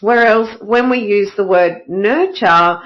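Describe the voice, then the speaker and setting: Woman hosting a solo podcast, a bit croaky with a cold, upbeat with lots of "uh"s, mid pitch, slightly dull sound. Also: slow pace, a bit bright. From Australia. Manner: upbeat and enthusiastic, with many "uh" fillers. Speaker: podcast host.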